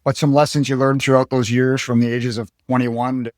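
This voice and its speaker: A male interview host with a mid-range voice asks long, winding questions, pausing and restarting as he goes. He sounds close to the mic in a dry room. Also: medium pitch, level tone, darkish tone, no echo. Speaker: podcast host.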